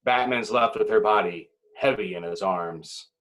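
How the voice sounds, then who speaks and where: A man's voice: lowish voice, moderate pace, fairly bright tone. A man reading screenplay stage directions as the dramatic narrator of a table read.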